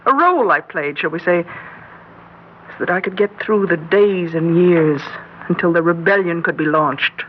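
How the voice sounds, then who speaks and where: A woman's voice: fairly low pitch, normal speed, natural voice. A woman playing a precocious schoolgirl in an old-time radio drama, putting on girlish innocence and a superior, precisely worded manner. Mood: coolly superior. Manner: switches between gushing girlish and precise, condescending diction. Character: precocious schoolgirl plotting revolution, feigning giddy innocence, coolly superior. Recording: muffled, hissy old-time radio recording.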